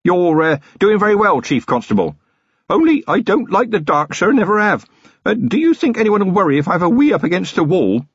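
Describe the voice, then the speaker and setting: A man's voice: sits high, very animated, a little fast, slightly rough, dry recording, highs cut off, pitched above the performer's own voice, exaggerated voice. A man voicing a nervous young male rookie policeman in a small, quavering voice, stammering and deferential in an audiobook story.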